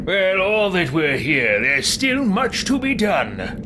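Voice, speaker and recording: A blunt, smug fantasy game hero, wry and measured. He talks slowly in a fairly high voice with a lively swing in pitch. Loud music plays underneath. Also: background noise, exaggerated voice. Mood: resolute. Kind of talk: video game dialogue acting.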